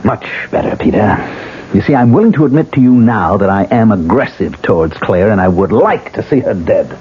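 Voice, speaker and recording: Tormented actor, wildly swinging pitch. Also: male voice, expressive, fairly quick, slightly rough. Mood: darkly playful. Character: tormented, sardonic actor who talks to a ghost. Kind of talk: 1970s radio drama acting. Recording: muffled, hissy 1970s radio recording.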